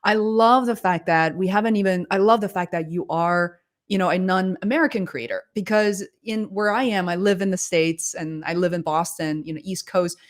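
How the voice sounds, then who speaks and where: A woman's voice: fairly low pitch, normal speed, few pauses. A woman hosting a livestream interview over a video call, talking in an enthusiastic, effusive conversational way. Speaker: livestream host.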